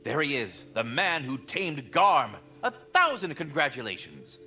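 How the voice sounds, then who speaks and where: A genteel old-world giant squirrel from a video game. The voice is bright and swings widely in pitch, with flowery, formal, wry courtesy. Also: male voice, sing-song, unhurried, faint music behind, full character voice. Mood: courteous. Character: genteel, theatrical giant immortal squirrel, keeper of a world tree. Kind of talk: video game character dialogue.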